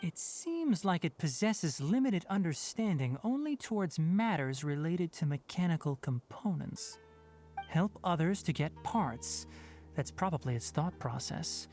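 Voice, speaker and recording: An actor playing a composed, analytical young male forest healer in a video game, articulate and measured. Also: a little high, very animated, a little mellow, soft background music. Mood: thoughtful. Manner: articulate, measured, matter-of-fact.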